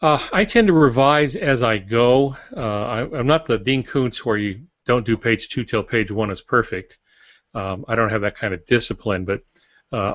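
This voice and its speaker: An older American man with a low voice explains things in a casual, conversational way. He is recorded dry and slightly muffled over a video call, like a podcast co-host. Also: a bit bright, no room sound. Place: small indoor office with shelves. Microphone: video call, close to camera.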